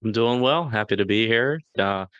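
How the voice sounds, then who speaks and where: A man co-hosting a beer podcast, giving brief, low-key replies in casual chat. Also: moderate pace, fairly bright tone, clear, resonant tone, no room sound, muffled recording. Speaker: podcast co-host.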